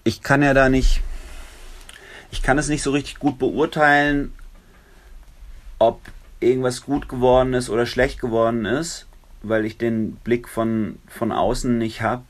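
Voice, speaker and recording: A man whose first language is Italian, answering interview questions in a thoughtful, conversational way, pausing to search for words. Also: mid-range voice, fairly even intonation. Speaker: interview guest, song translator.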